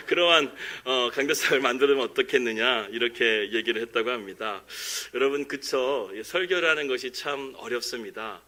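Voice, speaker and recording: A male pastor with a bright, forceful voice at a middling pitch gives a Sunday sermon. He speaks earnestly yet conversationally, like someone explaining things directly to the people in front of him. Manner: earnest, conversational, chuckles at his own jokes. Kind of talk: sermon with anecdotes, jokes and prayer. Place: church service, preaching from the pulpit.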